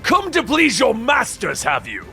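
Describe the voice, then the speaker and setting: A man's voice: extremely high pitch, huge pitch swings, unhurried, gravelly, pushed and bright, faint music behind, pitched above the performer's own voice, full character voice. A man voicing a haughty, sneering alien tyrant villain in a theatrical, bellowing manner for a comic book story. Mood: angry, mocking.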